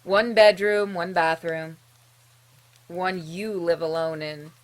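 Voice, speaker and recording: A woman reading a visual novel game's narration aloud in an expressive storytelling way, with casual, dryly humorous asides. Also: lowish voice, takes it slowly, low-quality recording. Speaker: streamer playing and narrating a game.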